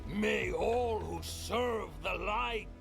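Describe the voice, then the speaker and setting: An actor playing a zealous, battle-scarred holy knight in a fantasy game, gravelly, solemn and declamatory. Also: male voice, high-pitched, very slow, exaggerated voice. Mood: solemn fervor. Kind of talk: cinematic fantasy game acting. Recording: music behind.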